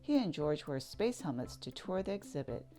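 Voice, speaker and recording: A woman reading a children's picture book aloud, narrating in an expressive sing-song way. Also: deep voice, moderate pace, faint music behind. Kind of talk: read-aloud storybook narration.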